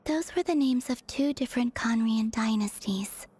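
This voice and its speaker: Soft, breathy woman lorekeeper acted. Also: on the high side, moderate pace, a little hiss or hum, close to an everyday voice. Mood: gentle, solemn. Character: gentle, solemn woman reciting ancient legends. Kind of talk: video game voice acting.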